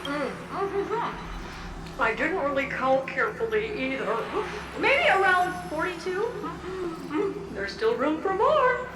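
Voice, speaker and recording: A woman voicing an excitable, boastful magic mirror in a video game, with a gushing, theatrical manner. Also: high-pitched, slow pace, roomy sound, recorded from a distance, over loud music, full character voice, low-quality recording. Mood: breezy, smug.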